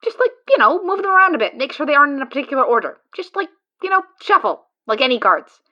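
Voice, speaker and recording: A woman's voice: high-pitched, very animated, fast talker, lo-fi sound. A woman playing a brash, teasing and loyal friend who reassures and boasts. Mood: confident. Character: Rizara, confident loyal friend and card gamer. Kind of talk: fan audio drama acting.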